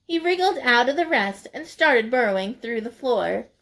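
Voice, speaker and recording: A woman storyteller reading a children's picture book aloud expressively, deliberate and emphatic for young listeners. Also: a little high, expressive, fairly bright tone.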